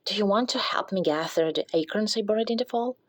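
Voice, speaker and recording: A woman's voice: mid-range voice, fast, dry recording, lightly acted. A woman voicing a kindly, practical male squirrel from a storybook, grave and caring. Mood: friendly. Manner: grave, matter-of-fact, caring.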